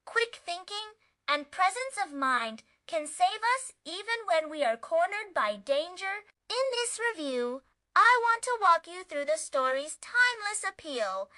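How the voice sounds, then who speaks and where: Female narrator, bright forceful tone, high pitch, earnest, emphatic, scripted storytelling. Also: very animated, a little slow, dry recording.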